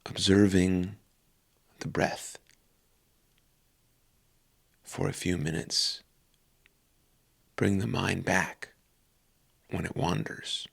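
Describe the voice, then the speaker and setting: A man leading a guided meditation calmly and unhurriedly, in short phrases with long pauses. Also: low voice, gravelly, breathy edge.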